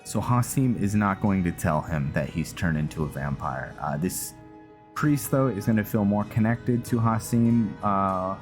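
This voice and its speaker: A man hosting a roleplay game playthrough, giving casual, low-key commentary as he thinks aloud. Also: animated, unhurried. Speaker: gaming video host. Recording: loud game music behind the voice. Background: video game music.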